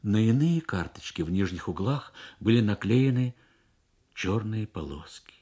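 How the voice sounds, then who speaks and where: A man narrating a literary story for radio in a measured, expressive manner with deliberate pauses. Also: fairly low pitch, slightly rough.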